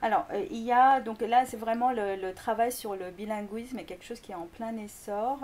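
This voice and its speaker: A woman who grew up in Greece, with Korean as her first language, explaining language learning as a linguistics lecturer in an interview, often pausing on fillers and restarting. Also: a little high, darkish tone. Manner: hesitant, many fillers and restarts.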